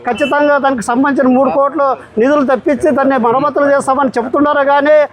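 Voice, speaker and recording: A man's voice: very high voice, fairly even intonation, rapid-fire. A man giving a formal, repetitive protest speech as a local party leader. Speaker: communist party town leader.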